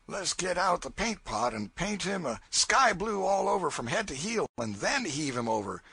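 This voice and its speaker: A man voicing a big, rough raftsman with a blunt, mocking manner. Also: high voice, very animated, normal speed, pushed and bright, no echo, pitched above the performer's own voice, full character voice.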